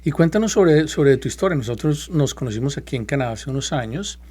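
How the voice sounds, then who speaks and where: A man hosting his own podcast, welcoming a guest and asking questions in a relaxed, repetitive way. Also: medium pitch, a little fast, husky. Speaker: podcast host.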